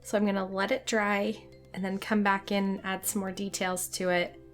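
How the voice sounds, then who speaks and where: A young woman with a hoarse voice from a cold, chatting casually as she teaches a watercolor painting tutorial. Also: medium pitch, level tone, normal speed, soft background music. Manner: friendly, chatty, light laughs.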